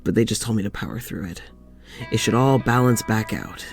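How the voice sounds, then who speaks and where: An actor playing a lonely, weary doctor in an audio drama, confiding in a halting, sighing way. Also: male voice, lowish voice, vocal fry, faint music behind. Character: lonely, weary young doctor venting to his demon dog.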